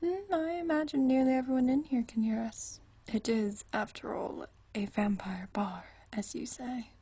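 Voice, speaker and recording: A woman performs an ageless vampire lady with a soft, mellow voice pitched a little high. She speaks in a very husky, whispery hush, as in an intimate ASMR roleplay. Her manner is languid and genteel, full of dry, teasing wit. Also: moderate pace. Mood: wry, affectionate. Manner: teasing, dryly witty, languid, genteel turns of phrase. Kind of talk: ASMR roleplay, intimate one-sided conversation.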